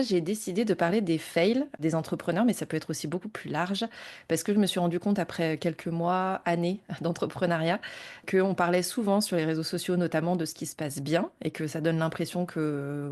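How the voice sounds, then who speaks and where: A woman hosting a business podcast, interviewing a guest in a relaxed, conversational way with occasional fillers. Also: lowish voice. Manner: friendly, scripted intro then relaxed chat. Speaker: podcast host.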